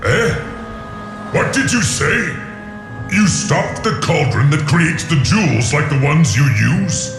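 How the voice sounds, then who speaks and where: A man's voice: on the high side, very animated, slow, full character voice. A man voicing a proud, wrathful, grieving dragon in a video game, speaking in a grave, menacing and formal way. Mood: scornful. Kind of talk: dramatic video game voice acting. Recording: echoing voice under loud music.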